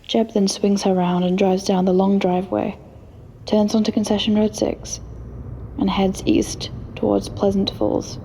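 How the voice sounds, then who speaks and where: A woman's voice: medium pitch, level tone, a little slow, warm tone, a little hiss or hum, rough recording. A woman reading scripted present-tense story narration as the narrator of an audio drama.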